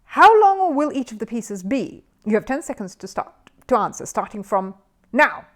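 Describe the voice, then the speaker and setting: A woman's voice: a little high, very animated, moderate pace, pitched above the performer's own voice. A woman voicing a showy, exasperated male quiz-show host in a storybook, emphatic and condescending as if announcing to a hall. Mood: brisk, triumphant. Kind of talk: children's storybook character voice.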